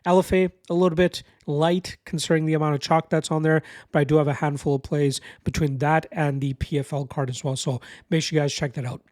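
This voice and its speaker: Male betting analyst, stuffy cold, brisk and confident, slightly higher voice, dry close mic. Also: fairly even intonation, a little mellow, dry recording. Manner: brisk, confident, bettor's slang. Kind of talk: casual betting picks breakdown.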